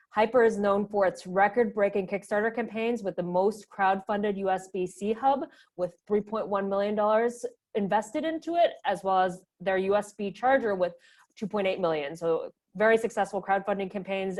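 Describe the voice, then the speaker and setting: Woman host, mid pitch, casual guest intro, upbeat, um fillers.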